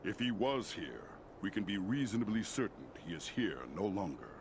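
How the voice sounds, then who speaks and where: Stern old-world ruler of giant eagles, fantasy game: measured authority, expressive intonation, clear noise behind. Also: male voice, lowish voice. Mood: grave, probing. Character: regal male giant eagle lord, wise and stern. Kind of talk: scripted fantasy game dialogue.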